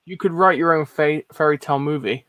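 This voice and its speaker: A man's voice: a little high, brisk, a bit bright, no echo, studio quality. A man co-hosting a casual livestream, chatting and reading out comments from viewers.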